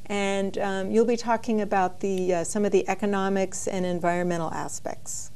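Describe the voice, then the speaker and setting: A woman hosts an interview show, introducing her guests in a friendly, halting way. Her tone is mellow and warm, in a mid-range voice. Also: medium pitch, steady tone, smooth. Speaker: talk show host.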